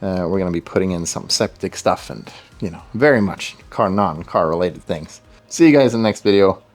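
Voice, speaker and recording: A man's voice: deep voice, expressive, fairly quick. Swedish man working in his garage. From Sweden. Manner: talks to viewers while working, thinking aloud. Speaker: car project vlogger. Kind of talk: casual garage work commentary. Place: home garage.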